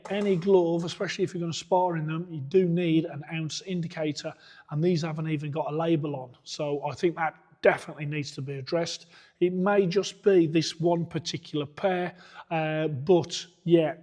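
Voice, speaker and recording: Man, boxing coach, hoarse from shouting, mellow tone, plain methodical review delivery. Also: a little high. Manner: methodical, plain-spoken, point by point. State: hoarse, losing his voice. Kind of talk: product review walkthrough.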